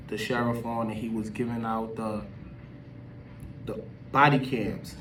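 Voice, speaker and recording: A man's voice: medium pitch, echoey room. A man giving casual opinion commentary as a video host, talking in an emphatic, slangy, conversational way. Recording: distant mic with background noise.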